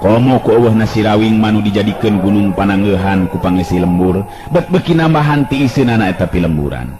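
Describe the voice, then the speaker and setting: A man with a Sundanese accent narrating a traditional folk tale in a calm, measured way. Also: fairly low pitch, background noise. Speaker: storyteller.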